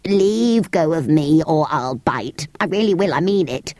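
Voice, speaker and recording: A woman voicing a grumpy, weary furry wish-granting creature in a storybook, low and strained, with a testy, put-upon manner. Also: animated, measured pace, darkish tone, no room sound. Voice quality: low, strained, tearful at times. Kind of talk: storybook character voice.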